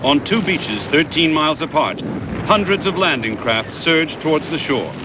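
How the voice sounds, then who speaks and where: A man reading scripted historical documentary narration in a measured, formal way with deliberate pauses, on a VHS transfer. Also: a little high, expressive, noisy background.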